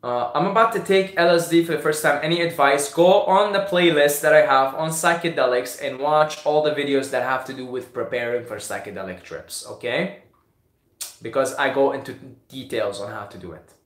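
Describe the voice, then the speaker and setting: A young man from Syria answers viewer questions in a relaxed live stream. He repeats himself a lot and stumbles through fillers as he goes, at an average pitch. The sound is echoey, as if picked up in a bare room. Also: moderate pace. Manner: repeats words, many fillers and restarts. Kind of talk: casual live Q&A.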